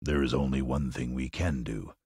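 A man's voice: rumbling low, steady tone, moderate pace, a little mellow, breathy edge, dry recording, studio quality, pitched below the performer's own voice. A man voicing a cowardly lion who talks big but is timid at heart, in a storybook reading. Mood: downcast.

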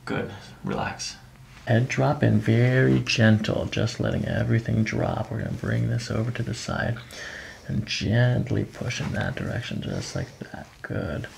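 A man's voice: fairly low pitch, sing-song, measured pace, gravelly, slight background noise. A middle-aged man working as a chiropractor, coaching a patient through treatment in short, reassuring ASMR-style instructions. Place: small carpeted treatment office, indoors. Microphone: microphone on boom stand nearby.

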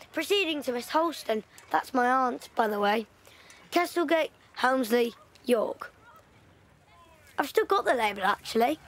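An actress playing a thoughtful young girl evacuated from London, telling her story in a reflective way. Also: sits high. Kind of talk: audio drama acting.

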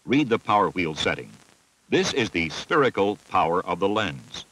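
An American man narrating a scripted instructional film in a clear, methodical, teacherly manner. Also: low-pitched, sing-song, normal speed, fairly bright tone, rough recording. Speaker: narrator of a military training film. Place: voice-over recording.

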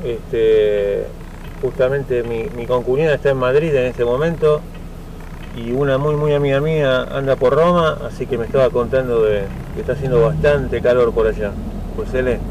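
A man with a Buenos Aires accent making casual, chatty ham radio conversation, unhurried and pausing often. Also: mid-range voice, fairly even intonation, poor audio. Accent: Buenos Aires Argentine Spanish. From Argentina. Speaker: amateur radio operator. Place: inside a moving car in city traffic. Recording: loud vehicle noise. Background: car and traffic noise.

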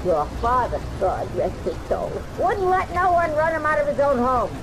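Nagging older mother, video game drama, scornful, high-pitched, very animated, noisy game ambience. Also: moderate pace. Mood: contemptuous. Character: scolding, shaming Italian-American mother of a grown gangster. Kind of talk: video game cutscene acting.

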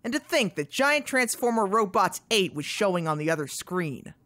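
A woman's voice: medium pitch, big pitch swings, normal speed, fairly bright tone. A woman voicing a grumpy, unimpressed male cartoon duck in a comic dub. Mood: grumbling. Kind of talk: live comic dub acting.

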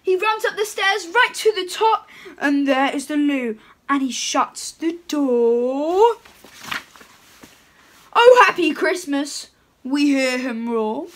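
A young woman playing a jolly, chatty Father Christmas reading a storybook aloud at home, her voice pushed lower in a playful put-on. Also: lively intonation, takes it slowly, pauses often, fairly bright tone, full character voice. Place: home room with curtains.

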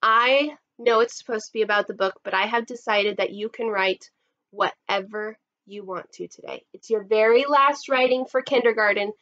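Woman in her thirties, higher voice, kindergarten storytime, expressive storybook reading, slightly dull webcam sound. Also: moderate pace. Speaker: kindergarten teacher. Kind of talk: read-aloud storybook narration for young children. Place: small indoor room set up as a classroom.

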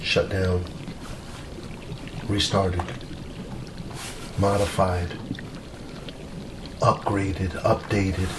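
A man playing an eager, self-important mad scientist doctor in an ASMR roleplay, musing aloud in halting short phrases. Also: sits low, level tone. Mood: proud, excited. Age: middle-aged. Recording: roomy, distant mic with loud noise.

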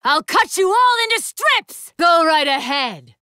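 A woman's voice: very high-pitched, sing-song, measured pace, sharp tone, dry recording, clean studio sound, exaggerated voice. An actress voicing a proud, ruthless young female warrior for an anime fighting game, with a haughty, commanding, theatrical delivery. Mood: fierce, defiant.

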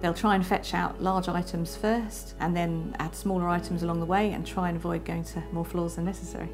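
A woman's voice: fairly low pitch, little pitch movement, a little fast, darkish tone. A woman archivist explaining her work in a matter-of-fact documentary interview. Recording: clear music underneath.

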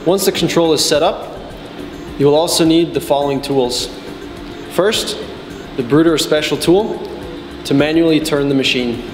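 A man in his twenties narrates a training guide in a measured, methodical way. His voice sits a little on the high side for a man. The sound is clearly echoey, with music playing behind him. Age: 20s. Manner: measured, step-by-step explaining. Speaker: technical support and customer trainer. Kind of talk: scripted instructional narration. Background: background music.

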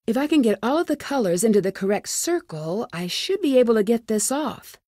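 A woman's voice: a little high, big pitch swings, a little fast, dark tone, no echo, clean studio sound. An American woman playing a curious, plucky young amateur detective in a video game, talking to herself in short, wry remarks. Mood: curious, focused. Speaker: voice actor in a detective adventure game. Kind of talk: video game character lines.

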